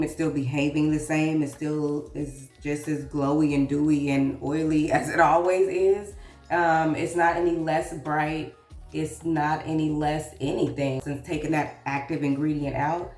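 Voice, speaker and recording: Woman, low pitch, congested, chatty get-ready vlog, roomy distant mic, faint music. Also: darkish tone. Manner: chatty, addresses viewer as girl. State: congested, sounds sick. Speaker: vlogger and author. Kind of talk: casual get-ready-with-me chat.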